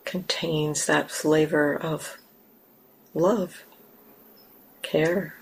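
A woman meditation teacher gently guiding a meditation, unhurried, with long pauses between short phrases. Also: low-pitched, steady tone, creaky, husky, low-quality recording. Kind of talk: guided meditation. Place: meditation center.

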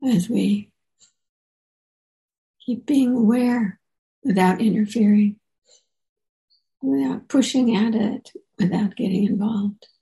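A woman teacher leading a guided meditation in a soft, relaxed way, leaving gentle pauses. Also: mid-range voice, creaky, rough recording.

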